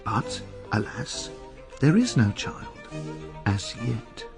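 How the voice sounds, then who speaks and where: A gossipy, opinionated onlooker in a period radio drama. His voice is medium in pitch and very animated, explaining scandal with knowing relish over faint music. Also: slow, creaky, full character voice. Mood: storytelling. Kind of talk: radio drama dialogue and storytelling.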